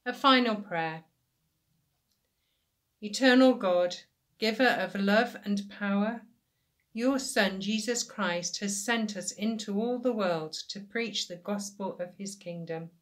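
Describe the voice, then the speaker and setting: An older woman in her sixties leading a church service with measured address and prayer. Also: mid-range voice. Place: small indoor room at home.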